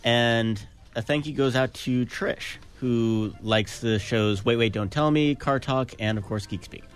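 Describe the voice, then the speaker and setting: A man co-hosting a radio pledge drive, reading out listener thank-yous in a plain, measured way. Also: medium pitch, clean tone.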